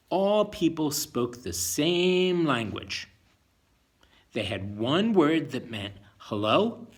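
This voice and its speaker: A man telling a children's bedtime story in an expressive way, with chatty teacherly asides and questions to young listeners. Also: fairly high pitch, vocal fry, slightly rough.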